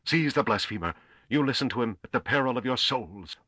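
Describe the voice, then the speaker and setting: A man voicing a stern, righteous priest in a sci-fi audiobook, declaiming solemn curses and commands in a slow, commanding way. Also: lowish voice, bright, forceful tone, no echo, voice pushed up. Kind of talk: dramatic audiobook character voice.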